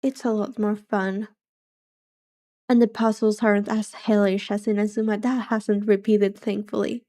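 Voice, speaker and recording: Soft-spoken woman streamer, mellow tone. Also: mid-range voice, flat delivery, normal speed. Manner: soft-spoken, rambling and chatty. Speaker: VTuber streamer. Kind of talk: casual chat while gaming.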